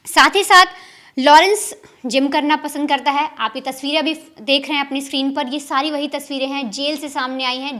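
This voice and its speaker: A woman's voice: high voice, pushed and bright. A woman crime news reporter explaining a case to viewers in an emphatic, repetitive way. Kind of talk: explanatory news commentary to camera.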